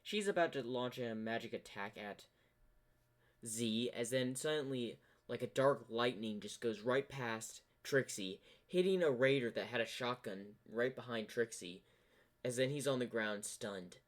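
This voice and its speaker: A man with a midrange voice narrates fan fiction as if improvising it. His delivery is slow and halting, with pauses in the middle of sentences. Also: medium pitch. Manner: halting, pausing mid-sentence. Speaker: storyteller.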